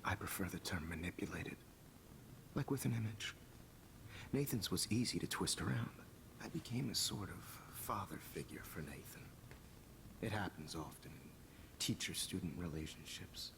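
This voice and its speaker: An actor playing a smug, menacing teacher and photographer villain in a video game, with a calm, condescending, gloating delivery. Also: male voice, low voice, moderate pace, gravelly, background noise. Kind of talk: dramatic video game acting.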